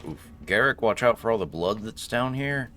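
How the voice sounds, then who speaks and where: A man's voice: medium pitch, animated, moderate pace, pushed and bright. A man doing casual, joking let's-play commentary, chatting to himself and to game characters. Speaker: gaming streamer. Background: video game ambience.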